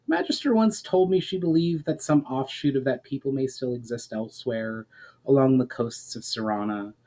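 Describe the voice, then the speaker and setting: A man's voice: medium pitch, warm tone, lightly acted. A man voicing an earnest, inquisitive noblewoman in a fantasy storybook reading, with formal, questioning delivery. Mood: thoughtful.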